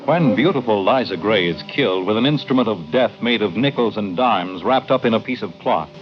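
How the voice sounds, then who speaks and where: A man's voice: fairly low pitch, lively intonation, fairly quick. A man delivering scripted announcements and narration in a vintage radio style. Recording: dull vintage radio recording, music behind. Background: music.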